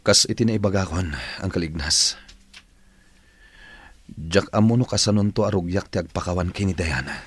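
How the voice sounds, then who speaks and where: A man's voice: low-pitched, normal speed, long pauses, husky. An actor playing a troubled, remorseful man, anxious and earnest, in an Ilocano-accented radio drama. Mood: worried. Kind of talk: Filipino radio drama acting.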